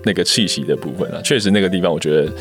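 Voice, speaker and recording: A man's voice: low voice, rapid-fire, a bit bright, soft background music. A man with a Taiwanese accent co-hosting a casual chat, self-deprecating and often repeating his words. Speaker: podcast co-host.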